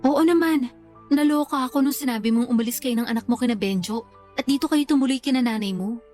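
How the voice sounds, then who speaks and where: A woman's voice: sits high, quick pace, warm tone, close to an everyday voice. A Filipino woman acting in a radio drama as a level-headed, supportive friend who reassures and gives advice. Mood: reassuring, curious. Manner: reassuring, advising. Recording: background music under the voice.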